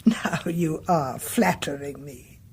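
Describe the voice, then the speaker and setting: A woman's voice: low voice, slow, a little mellow, breathy edge, heavily acted. An actress playing a frail, demanding older woman in a radio drama, with a sighing, insinuating way of speaking. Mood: coy, indulgent.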